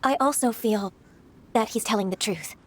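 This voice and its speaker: An actress playing an earnest young female official in a video game, speaking in a formal, measured and courteous manner. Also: medium pitch, natural voice. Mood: apologetic. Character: polite, solemn, earnest young official woman.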